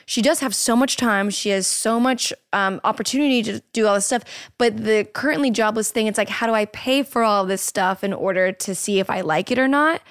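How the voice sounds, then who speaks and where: A woman in her twenties hosting a podcast, chatty and encouraging, swearing casually in relaxed talk. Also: fairly high pitch, smooth, no echo, clean studio sound. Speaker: podcast host.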